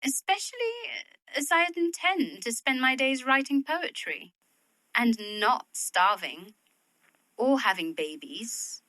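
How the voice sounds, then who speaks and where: An actress playing a proud, practical young Victorian widow in a period audio drama, speaking in a worldly, opinionated way. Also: female voice, high voice, animated, takes it slowly, bright, forceful tone, no echo, full character voice, poor audio. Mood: worldly, musing. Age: young adult.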